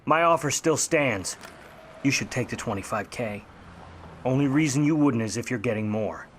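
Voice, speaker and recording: An actor playing a wry, wisecracking private eye in a 1950s noir radio play, narrating and trading slangy lines. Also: male voice, medium pitch, expressive, moderate pace, slight background noise, lightly acted. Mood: tough, pressing. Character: wry, grudging hardboiled male private eye.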